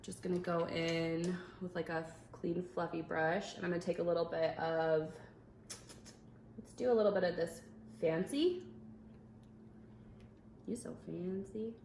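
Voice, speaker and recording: A young woman explains her makeup steps in a chatty, upbeat way, her voice sitting a little low. Her voice echoes in the room, with faint noise under it. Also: steady tone, a little slow, gravelly, pauses often, darkish tone. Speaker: makeup vlogger. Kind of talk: casual makeup tutorial chat. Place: indoor room at a makeup table.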